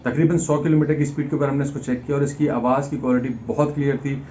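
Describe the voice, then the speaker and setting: A man's voice: mid-range voice, fairly even intonation, fast talker, mellow. A man giving an explanatory product review in a conversational way, mixing English terms into his speech. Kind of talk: unboxing and review talk, explanatory. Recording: dull lo-fi sound, faint background noise.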